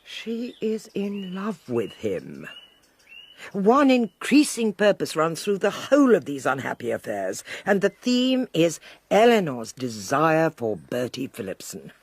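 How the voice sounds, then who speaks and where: An actress playing a self-assured older lady sleuth in a radio whodunit, with an upper-class English accent and a husky, deliberate, emphatic delivery. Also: low voice, huge pitch swings, heavily acted. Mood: dry, knowing. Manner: deliberate, emphatic, precise, teasing. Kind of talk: 1920s whodunit radio drama acting.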